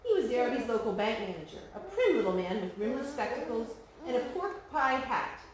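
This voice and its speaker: A woman reading a children's storybook aloud in an expressive storytelling style. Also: on the high side, normal speed. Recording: very echoey room, faint noise.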